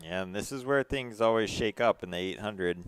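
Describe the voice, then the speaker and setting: A man's voice: fairly low pitch, a little fast, clear, resonant tone, no room sound. A man with a Boston accent doing casual co-commentary at a track meet.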